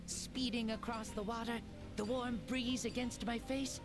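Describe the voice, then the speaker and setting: A man voicing an old, nostalgic warrior spirit in a game, talking in a calm, reflective and grateful way. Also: very high-pitched, measured pace. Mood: content. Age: older. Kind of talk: game cutscene voice acting. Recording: game audio with music underneath.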